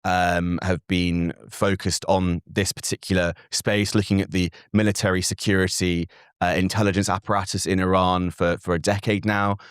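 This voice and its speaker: A young man, a native Farsi speaker raised in Britain, answering as a guest analyst in an earnest, measured way with frequent fillers and restarts. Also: low voice, little pitch movement, clean tone, no room sound, clean studio sound. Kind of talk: interview answers.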